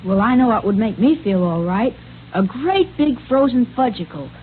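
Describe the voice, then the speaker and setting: A woman's voice: on the high side, lively intonation, moderate pace. A woman delivering an eager, scripted 1930s radio advertisement to children. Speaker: radio ad pitch partner. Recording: scratchy, tinny old radio recording.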